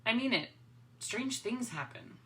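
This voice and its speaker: A woman's voice: a little high, animated, measured pace, sharp tone, rough recording. A woman playing a sarcastic, skeptical teenage schoolgirl in a dramatic comic reading, arguing drily. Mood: dry, skeptical.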